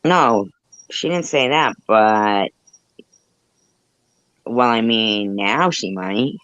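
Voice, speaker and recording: Woman, casual panel chat, slow halting delivery, rambling, dry lo-fi sound. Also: rumbling low, lively intonation, a bit bright, dry recording. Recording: dull lo-fi call audio.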